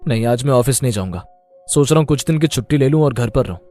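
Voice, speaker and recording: A man's voice: mid-range voice, animated, fast talker, warm tone, faint music behind, lightly acted. A man playing a stubborn, neglected young husband in a melodramatic story, with an emphatic, aggrieved manner. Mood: pleased. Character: neglected, stubborn young husband Aditya, smitten with another woman. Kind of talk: melodramatic Hindi moral story acting.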